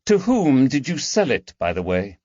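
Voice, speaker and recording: A man's voice: medium pitch, huge pitch swings, normal speed, darkish tone, dry recording, muffled recording, pitched above the performer's own voice. A man voicing a shrewd, coldly mocking detective in an audiobook, with a dry, taunting manner. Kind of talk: audiobook character dialogue.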